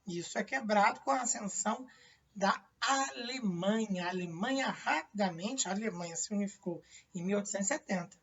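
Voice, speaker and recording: A woman with a Brazilian accent teaching a high school history lecture, asking herself rhetorical questions and tagging sentences with "né?". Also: brisk, breathy edge. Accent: Brazilian Portuguese. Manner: many tag questions and fillers, rhetorical questions. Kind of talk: classroom lecture. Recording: distant lo-fi classroom recording.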